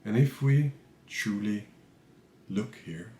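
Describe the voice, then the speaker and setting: A man guiding a meditation as a teacher, calm and measured, speaking in short phrases with pauses between them. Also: fairly low pitch, echoey room. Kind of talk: guided meditation, unscripted spiritual talk.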